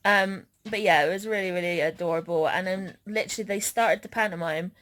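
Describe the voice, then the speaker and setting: A woman's voice: fairly low pitch, a little fast, a bit bright. A woman vlogger chatting casually as she recounts an outing.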